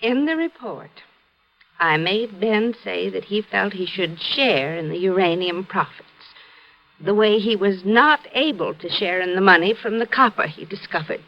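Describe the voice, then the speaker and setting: An actress playing a hesitant, nervous widow of a prospector in a 1950s radio drama. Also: female voice, mid-range voice, expressive, moderate pace, close to an everyday voice. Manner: hesitant, gentle, faltering. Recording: old muffled radio broadcast.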